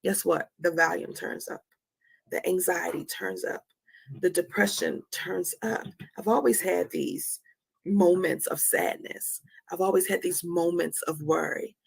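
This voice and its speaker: Female, forties, heavily creaky and husky. Candid motivational speaker, leans on repetition for emphasis. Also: lowish voice, fairly even intonation, unhurried, rough recording. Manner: emphatic, repeats phrases for effect. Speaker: host of a live talk on anxiety.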